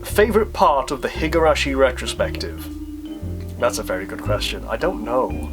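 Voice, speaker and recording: A man with a mid-pitched voice chats informally as a video creator taking questions. He thinks aloud and speaks in a self-deprecating, conversational way. Music plays clearly behind him. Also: sing-song, moderate pace. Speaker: YouTube creator answering viewer questions. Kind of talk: casual Q&A vlog talk.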